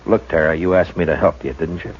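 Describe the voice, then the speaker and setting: An actor playing a steady, firm frontier marshal in a 1950s radio drama, talking in a measured, hesitant drawl full of uhs. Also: male voice, a bass voice. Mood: gentle. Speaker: lead actor and narrator. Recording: dull old radio broadcast with hiss.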